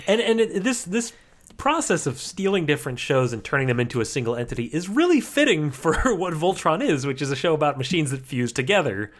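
A man's voice: on the high side, very animated, brisk. A man co-hosting a podcast, explaining things casually with a wry, playful manner. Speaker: podcast co-host.

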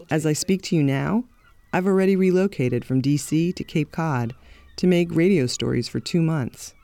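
A middle-aged woman hosts her podcast in scripted narration. Her voice is mellow and unhurried in tone, reflective and measured, and she speaks with candor. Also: extremely low pitch, dry recording. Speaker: podcast host.

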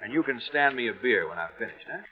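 A man playing an ex-Navy radio operator telling a yarn in a radio drama, slangy and halting, with an American accent. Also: mid-range voice, expressive, natural voice. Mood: confiding. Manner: colloquial, halting. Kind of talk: old-time radio drama acting. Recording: muffled vintage radio broadcast, music behind.

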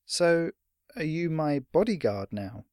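A man's voice: fairly high pitch, big pitch swings, takes it slowly, darkish tone, no echo, clean studio sound, pitched above the performer's own voice. A man voicing a young boy in a storybook, earnest, polite, hesitant and naive. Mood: eager.

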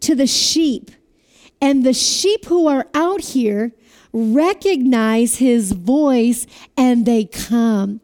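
A woman preaching a sermon with a loud voice, asking listeners to repeat phrases after her. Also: a little high, drawn-out words. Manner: asks the audience to repeat phrases.

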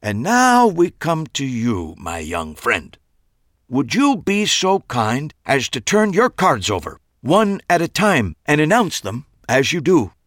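A man's voice: fairly high pitch, huge pitch swings, a bit bright, no room sound, studio quality, voice pushed up, exaggerated voice. A man doing a theatrical stage magician. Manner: dramatic, drawn-out announcing.

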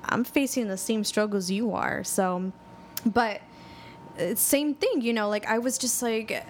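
A woman hosting an interview, relaxed and conversational as she shares her own story. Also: on the high side, a little slow, a little hiss or hum. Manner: relaxed, conversational, sharing personal stories. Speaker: host.

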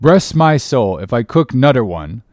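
A man's voice: medium pitch, lively intonation, darkish tone, dry recording, pitched above the performer's own voice, lightly acted. Grumbling, elderly male sea cook, played by a man reading a storybook, drawling testily in dialect, dry sound. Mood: testy. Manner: slow, drawn-out, testy dialect speech. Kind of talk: audiobook character reading.

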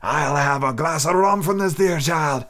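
A man voicing a fawning, menacing old male pirate sailor who drags his words out with sudden sharp rises. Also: on the high side, voice pushed up. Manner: drawn-out, wheedling delivery with sudden sharp rises.

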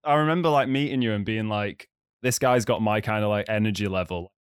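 A man hosting a podcast with a slightly croaky voice, talking in a friendly, enthusiastic way. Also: fairly low pitch, brisk, dry recording, studio quality. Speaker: podcast host. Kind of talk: podcast intro and casual chat.

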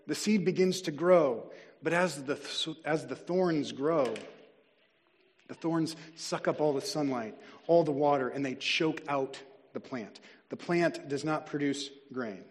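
A man preaching a sermon as a pastor, earnest and deliberate, slowing down to stress key words. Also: on the high side. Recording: long reverb of a large hall.

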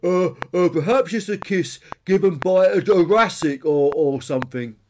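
A man's voice: high-pitched, a little mellow, slight background noise, voice pushed up, natural voice. A man voicing a dim stegosaurus in a children's story, stammering and unsure. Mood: puzzled. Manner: stammering, slow-witted. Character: dim, hesitant stegosaurus munching leaves.

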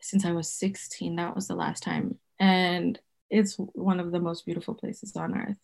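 A woman's voice: lowish voice, level tone, moderate pace, vocal fry, darkish tone. A woman in her thirties from Zimbabwe, raised in Botswana, chatting as a podcast guest in a loose, rambling way, often correcting herself and laughing. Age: 30s. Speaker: guest, folk herbalist. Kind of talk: casual interview conversation.

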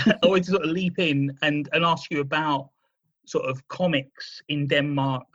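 A man hosting a comics podcast, asking questions with frequent restarts and soft laughs. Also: on the high side, fairly even intonation, normal speed, lo-fi sound. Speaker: podcast host. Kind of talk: casual interview.

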